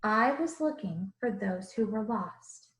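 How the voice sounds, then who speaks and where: A woman voicing a gentle, earnest Jesus who tells his own storybook tale in rhythmic rhyme. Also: mid-range voice, lively intonation, slow pace, slight background noise. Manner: rhythmic rhyming storybook delivery. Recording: roomy, echoing room sound.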